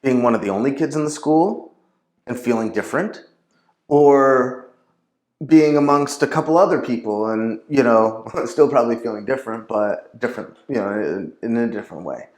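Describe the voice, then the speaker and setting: A man's voice: medium pitch, normal speed, a little mellow. A man speaking as a guest in a casual conversation, rambling and leaning heavily on fillers and restarts. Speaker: podcast guest. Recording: roomy echo.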